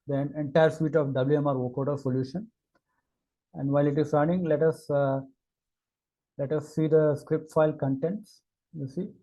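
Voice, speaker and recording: A man walking through a technical product demo, talking in a halting way with many fillers and restarts. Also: on the high side, warm tone, slight background noise, rough recording. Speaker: engineer giving a product demo. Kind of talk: screen-recorded technical walkthrough.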